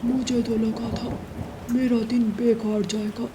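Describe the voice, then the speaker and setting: A woman's voice: on the high side, flat delivery, unhurried, noisy background, lightly acted. A woman voicing a cruel, greedy male hunter who gloats, in a Hindi cartoon story. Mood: gloating. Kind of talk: Hindi animated story dubbing.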